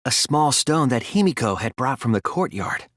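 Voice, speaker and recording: A young male detective in a dramatic English game dub. His medium-pitched voice moves expressively as he reasons with earnest, quiet resolve. The delivery is articulate and deliberate, recorded completely dry. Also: big pitch swings, dry recording. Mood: earnest, analytical. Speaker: video game voice actor.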